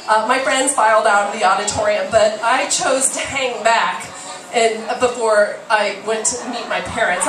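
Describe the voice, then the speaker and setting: A woman's voice: fairly high pitch, far from the mic, background noise. A woman in her forties reading a memoir aloud on stage with a theatrical, emphatic delivery. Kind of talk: live storytelling, reading a memoir excerpt aloud. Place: small dim bar stage, indoors. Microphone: stand microphone, filmed from the audience. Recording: roomy stage PA heard from off, music.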